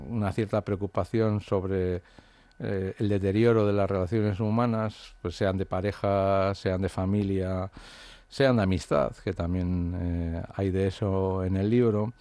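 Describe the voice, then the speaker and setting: A man's voice: lowish voice, dark tone, no echo. A man, an author from the Basque Country, talking through his ideas in an interview, hesitant and reflective with many fillers and restarts. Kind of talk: interview conversation.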